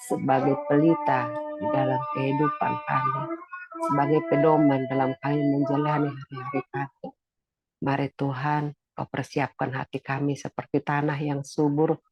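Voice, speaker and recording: A preacher giving a sermon with a stuffy, nasal voice while recovering from illness, pitching up and getting louder as they explain a point. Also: muffled recording. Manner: rises in pitch and volume when explaining.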